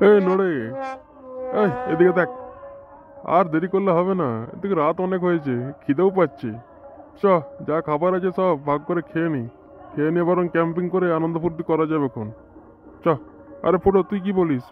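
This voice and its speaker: Bossy village big-brother type for a cartoon , played by a man, slightly high voice, phone-like sound. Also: steady tone, brisk, pauses often, soft background music, lightly acted. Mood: jolly. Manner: colloquial, commanding, repeats short orders. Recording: thin phone-like sound with faint noise.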